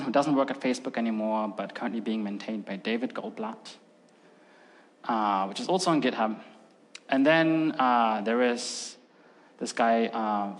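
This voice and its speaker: A man's voice: medium pitch, fairly bright tone. A middle-aged man whose first language is Russian, giving a casual, filler-heavy technical talk at a conference. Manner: casual and chatty, many fillers like "like" and "um". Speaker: conference speaker. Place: large conference hall. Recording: long hall reverb.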